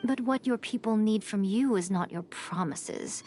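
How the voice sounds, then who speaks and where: An actress playing a sly, elegant ancient fox envoy in a video game, with a knowing, teasing, theatrical delivery. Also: female voice, mid-range voice, unhurried, darkish tone, slight background noise, natural voice. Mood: pointed, concerned. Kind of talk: dramatic video game voice acting. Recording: music behind the voice.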